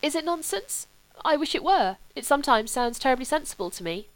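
A whimsical, petulant young aristocrat, as a woman puts him on in a storybook reading. His voice sits high above the narrator's and lilts with breezy, teasing excitement. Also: fairly quick, no room sound, rough recording. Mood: eager.

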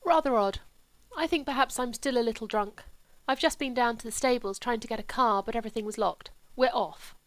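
A woman playing a sullen drunk young gentleman. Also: a little high, no echo, voice pushed up, lightly acted. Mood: restless. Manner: petulant, rushed and raised. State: still a little drunk.

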